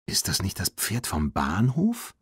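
A man's voice: low voice, wildly swooping pitch, unhurried, breathy edge, no echo, studio quality, exaggerated voice. Man voicing a curious, chatty teenage girl. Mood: puzzled, curious.

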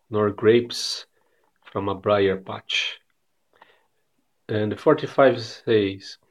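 A man in his thirties from Brazil, with a Brazilian Portuguese accent, sharing a short devotional message in careful non-native English, often repeating words, recorded on a phone at arm's length in a bright room. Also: lowish voice, breathy edge. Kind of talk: casual devotional message to camera. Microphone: phone camera at arm's length.

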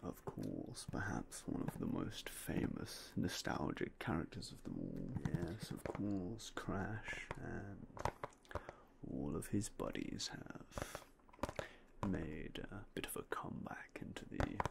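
A young man whispering softly and politely as a game shop clerk in an ASMR roleplay, close to a binaural mic. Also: sits low, very animated, takes it slowly, creaky. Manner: quiet, whispery, polite shopkeeper patter.

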